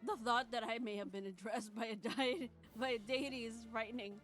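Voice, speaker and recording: A woman's voice: fairly high pitch, steady tone, brisk, fairly bright tone, soft background music. A woman reading a visual novel's first-person narration aloud on a stream, with casual asides.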